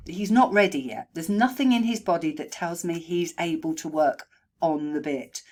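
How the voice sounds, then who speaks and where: A woman horse trainer and behaviourist, hoarse from a chest cold, talking through riding feedback in a recorded voice-over on a new microphone, chatty and full of ums and restarts. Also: low-pitched, darkish tone. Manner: chatty, thinking aloud with many ums and restarts. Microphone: new home microphone.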